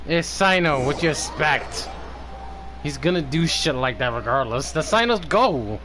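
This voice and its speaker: A male streamer with a fairly high, lively voice, commenting casually and slowly on a game. He is blunt and teasing and swears. The stream audio is noisy. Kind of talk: casual live reaction commentary.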